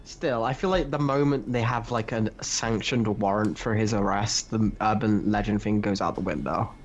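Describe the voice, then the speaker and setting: A man's voice: on the low side, normal speed, slight background noise. Opinionated man on a dull lo-fi track. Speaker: podcast co-host. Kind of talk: casual comic book discussion.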